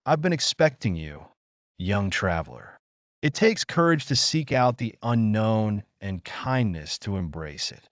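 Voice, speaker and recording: A man voicing a wise elder cat mentor in a children's storybook, measured, solemn and encouraging. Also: on the low side, very animated, dry recording. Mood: knowing, encouraging.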